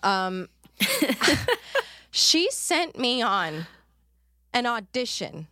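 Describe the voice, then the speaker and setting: A woman's voice: a little high, wildly swooping pitch, very slow, bright, forceful tone, no echo, studio quality. A woman co-hosting a podcast, telling an exasperated story with frequent laughs and swearing. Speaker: podcast co-host. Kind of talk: casual chat over video call. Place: recording studio.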